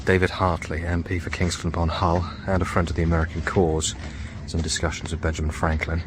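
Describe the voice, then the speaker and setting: An actor playing a kindly, measured reverend in a period radio drama, speaking formally and reassuringly. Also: male voice, low voice, monotone, background noise, close to an everyday voice. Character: kindly reverend bringing news to prisoners.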